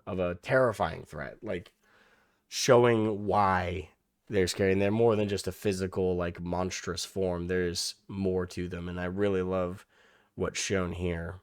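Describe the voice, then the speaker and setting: A young man hosting a reaction video, losing his voice and hoarse, rambling casually with many restarts and the odd swear. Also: sits low, steady tone, measured pace, no room sound, clean studio sound.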